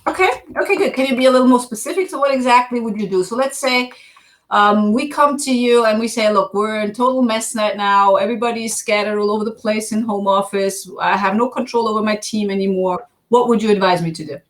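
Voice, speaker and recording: A woman's voice: mid-range voice, fairly quick. A woman hosting an online talk show, interviewing guests in an upbeat way and laughing often. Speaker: host. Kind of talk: casual online show chat.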